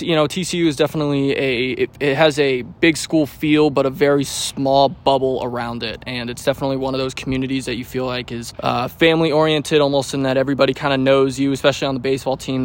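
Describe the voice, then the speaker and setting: A man in his twenties, a baseball pitcher, answering pregame interview questions in a relaxed, rambling way with many fillers and restarts. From United States. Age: 20s.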